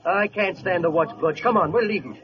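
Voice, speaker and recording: A man's voice: high voice, fairly quick, close to an everyday voice. An actor playing a blustering, indignant small-time hoodlum boss in a 1940s radio comedy, talking in tough-guy slang. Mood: aggrieved. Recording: muffled, noisy old radio broadcast.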